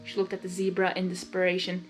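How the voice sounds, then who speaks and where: A woman's voice: on the low side, completely flat delivery, fast, poor audio. A woman reading a story aloud expressively, with casual, informal asides in a giggly manner. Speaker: fan fiction reader. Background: music.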